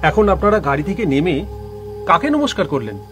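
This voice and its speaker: A man's voice: a little high, sing-song, fairly quick, music in the background. A man playing a helpful, curious office colleague in an audio story, courteous and chatty.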